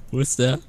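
A young man chatting casually and excitably as a teammate in a video game. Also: fairly high pitch. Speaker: gaming teammate. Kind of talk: casual gaming banter.

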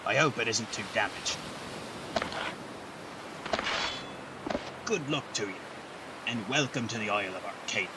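An actor playing a genial, folksy ship captain in a fantasy game dub. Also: male voice, fairly low pitch, expressive, brisk, far from the mic, very noisy, close to an everyday voice. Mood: helpful, concerned. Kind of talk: video game character dub.

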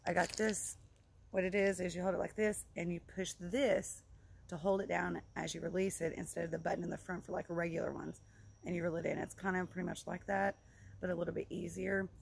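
Very gravelly, low-pitched woman chatting casually. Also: level tone, brisk. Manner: rambling, informal, repeats herself. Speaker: vlogger reviewing fishing gear. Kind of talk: casual product review to camera. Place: outdoors in a leafy yard by a log building. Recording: clear outdoor background noise.

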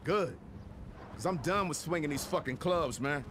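A man's voice: a little high, expressive, moderate pace, noisy background, lightly acted. An actor playing an irritable, commanding male music mogul in a video game, curt, emphatic and sweary. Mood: irritated. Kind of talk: video game cutscene acting.

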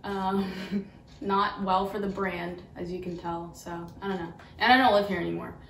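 A woman of 22 hosting a casual Q&A on her vlog, sitting on a living room couch and teasing her mother with quick asides. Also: medium pitch, steady tone, vocal fry, fairly bright tone, a little hiss or hum. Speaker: YouTube vlogger, host. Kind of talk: casual Q&A chat. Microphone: camera mic, from a distance. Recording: roomy, a bit distant.

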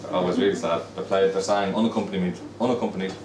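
Deep-voiced Dublin man in a pub. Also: steady tone, fast talker, darkish tone, a little hiss or hum. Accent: Dublin Irish. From Ireland. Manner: chatty, rambling, repeats words. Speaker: fiddle player. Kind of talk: casual storytelling between tunes. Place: busy pub, indoors. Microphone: far camera. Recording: echoey pub from far off.

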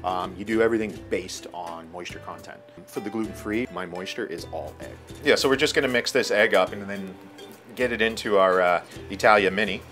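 Middle-aged chef explaining in a kitchen. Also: male voice, on the low side, a little fast, over music. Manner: relaxed, explanatory, with frequent fillers and restarts. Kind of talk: cooking demonstration. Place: restaurant kitchen.

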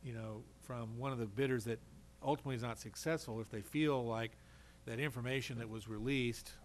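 A man of medium pitch explains carefully to a council, one step after another. He speaks as an adviser in a public meeting, with faint noise underneath. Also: steady tone, fairly quick.

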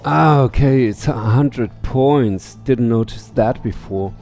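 A man's voice: medium pitch, takes it slowly, darkish tone. A man, sounding middle-aged, giving casual gameplay commentary and reading game text aloud, muttering to himself and swearing casually into a headset mic. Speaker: let's play streamer. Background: faint game music.

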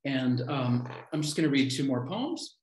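A man in his fifties reading his poems aloud on a video call from home, declaiming short lines with deliberate pauses. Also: medium pitch, animated, background noise. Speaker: poet reading own poems. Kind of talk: live poetry reading. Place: small room at home. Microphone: computer mic on a video call. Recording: roomy, echoey home video-call sound.

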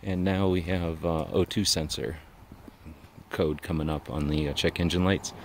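A man giving a relaxed, rambling walkaround tour of his van outdoors, talking into a handheld camera. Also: low-pitched, moderate pace. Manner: relaxed, rambling, frequent uh fillers. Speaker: vlogger. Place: outdoors in a mountaintop parking lot beside a van. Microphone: handheld action camera. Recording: outdoor camera audio with clear background noise.

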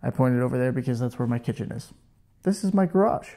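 A man's voice: mid-range voice, lively intonation, fast talker, mellow. A man in his thirties hosting a casual woodworking demo in his garage workshop, chatty and self-joking, on a lapel mic. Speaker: woodworking channel host.

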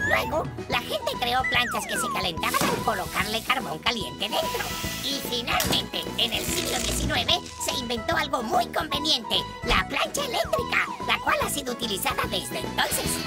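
Small childlike cartoon narrator, high voice, huge pitch swings, educational, music under. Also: female voice, wildly swooping pitch, normal speed. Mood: cheerful, instructive.

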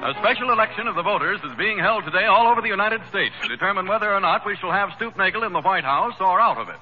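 A man's voice: sits high, expressive, fast, soft background music. A man announcing in a formal, polished 1930s radio style. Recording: muffled 1930s radio with hiss.

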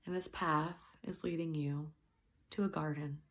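A woman softly and gently leading a guided meditation, at times slipping into a breathy near-whisper. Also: sits low, fairly even intonation, measured pace.

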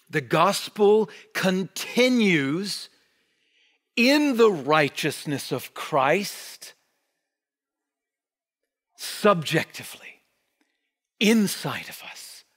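High-voiced preacher, sweeping from loud to whispered. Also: male voice. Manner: animated preaching with wide gestures, dropping to near-whispers on key words. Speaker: pastor. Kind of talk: sermon. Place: church stage behind a wooden pulpit.

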